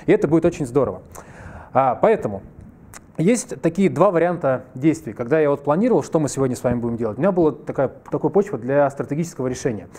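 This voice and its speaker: A man in his thirties teaches a live workshop in a wood-panelled hall, talking through a headset mic. He races along in a mellow, rather high voice, joking with the room. He's a bit under the weather, and a rough throat shows now and then. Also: quick pace. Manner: lively, conversational, jokes with the audience. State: slightly ill, clears throat. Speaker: workshop presenter. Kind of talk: live masterclass lecture.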